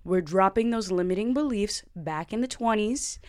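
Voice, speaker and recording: A woman's voice: mid-range voice, normal speed, darkish tone, dry recording, clean studio sound. A woman in her thirties hosting a podcast, talking in a sassy, playful way with slangy asides. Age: 30s. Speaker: podcast host. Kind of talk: podcast intro and interview.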